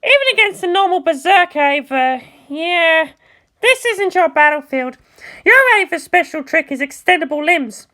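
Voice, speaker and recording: A woman plays a fiery teenage girl mecha pilot from an audiobook story. The voice is pitched very high and swings energetically, with blunt, sarcastic, combative emphasis. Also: animated, moderate pace, bright, forceful tone, full character voice. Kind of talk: acted story dialogue.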